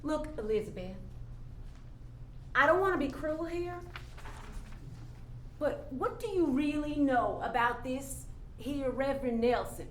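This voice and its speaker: A woman's voice: high-pitched, expressive, unhurried, pauses often. An actress playing a jaded, skeptical working woman with a blunt, folksy, challenging manner in a staged play reading. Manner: folksy, challenging, pointed rhetorical questions. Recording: echoey room, faint background noise.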